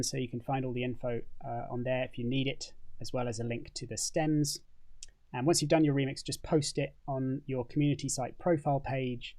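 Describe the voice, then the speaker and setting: A British man hosting a relaxed livestream, talking casually into a condenser mic with plenty of fillers and restarts. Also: medium pitch. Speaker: host.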